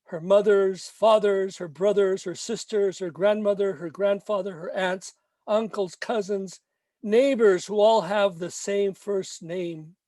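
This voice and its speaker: A man reading his own protest poem in a slow, emphatic, chanting way, after brief spoken remarks with some hesitation. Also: high voice, fairly even intonation, warm tone, dry recording. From Mexico. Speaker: poet. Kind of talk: poetry reading.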